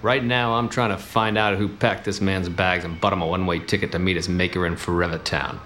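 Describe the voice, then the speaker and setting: An actor playing a cocky, bossy 1920s private detective in a noir radio comedy, with clipped wisecracking delivery. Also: male voice, low-pitched, flat delivery, fairly quick, a bit bright, a little hiss or hum. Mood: cocky, scolding.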